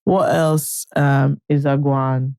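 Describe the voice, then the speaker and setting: Animated Nigerian woman, gravelly edge. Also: rumbling low, slow pace, no room sound. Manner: animated, emphatic, swears and promises often. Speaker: podcast co-host. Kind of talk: casual chat.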